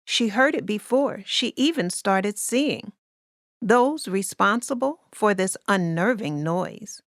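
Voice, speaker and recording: Female storybook narrator, slow and expressive, deliberate rhyming read, dry close sound. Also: mid-range voice, dry recording. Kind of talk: scripted children's story narration.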